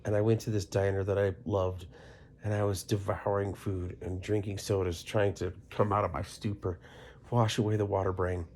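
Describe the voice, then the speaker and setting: A man's voice: sits low, flat delivery, normal speed, a little mellow, slight background noise. A middle-aged American man telling a casual comedic personal story in a dramatic, self-deprecating way, sometimes dropping to whispery asides.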